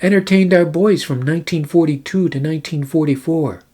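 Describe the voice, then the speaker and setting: A man's voice: on the high side, a little fast, warm tone, natural voice. A man playing a genial army captain in a noir radio play, familiar and matter-of-fact like an old buddy.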